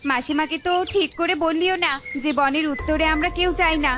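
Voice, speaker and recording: A woman's voice: high-pitched, steady tone, a little fast, noisy background, lightly acted. A woman voicing a grumpy young female bird neighbour in a children's cartoon, scolding and impatient. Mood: annoyed, scolding.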